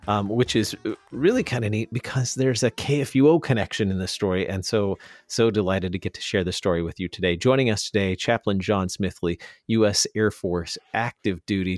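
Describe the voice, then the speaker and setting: A male radio host with a fairly low voice talks in a conversational interview style. His sound is dry and close to the microphone, with little room around it. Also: dry recording.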